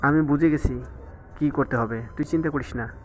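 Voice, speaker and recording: A man's voice: a little high, moderate pace, a little hiss or hum, lightly acted. A man playing a loyal, teasing best friend in an audio story, with a familiar bantering manner. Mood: supportive.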